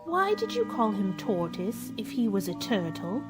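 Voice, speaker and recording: Here is a woman playing an inquisitive little girl in a radio drama, well-mannered but forthright. The voice is high and full of rise and fall. Music plays behind it. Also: big pitch swings, normal speed. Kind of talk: storybook radio play acting. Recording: music under the voice.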